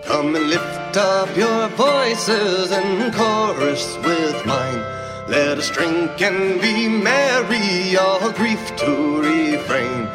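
Woman folk singer, deep register, very unhurried drinking-song delivery with prominent acoustic accompaniment. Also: expressive. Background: loud acoustic string music.

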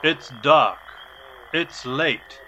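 A man's voice: a little high, extremely slow, sharp tone, a little hiss or hum, rough recording. A man announcing an audio drama with dramatic scripted intro narration.

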